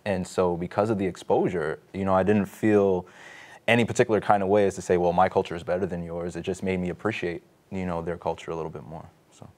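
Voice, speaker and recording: A man's voice: deep voice, fairly even intonation, fast, darkish tone. A man in his thirties from New York, talking casually as a panelist in a studio, on a lapel mic. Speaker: panelist on a religious study show.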